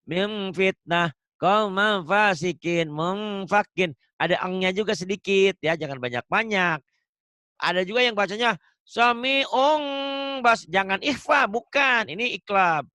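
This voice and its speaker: A man's voice: high-pitched, measured pace, sharp tone, no echo, studio quality. A man teaching Quran recitation online, patiently drilling pronunciation and laughing often. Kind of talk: online tajwid lesson.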